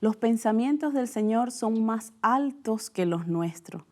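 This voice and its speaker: Woman, Venezuelan accent, TV host, somewhat high voice, conversational questions, studio. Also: animated, unhurried. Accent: Venezuelan Spanish. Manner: warm conversational questioning, frequent "mira".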